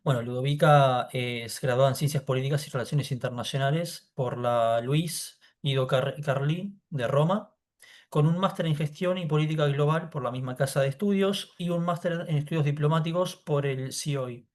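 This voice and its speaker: A man's voice: fairly high pitch, moderate pace. A man, moderating an online event and introducing the next speaker with many hesitations. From Argentina. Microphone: video call.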